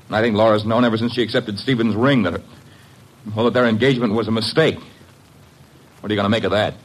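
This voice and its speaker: An actor playing a defensive, hot-headed young man in love, halting and stammering in a classic radio drama. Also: lowish voice, fairly even intonation, close to an everyday voice, rough recording. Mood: defensive, agitated.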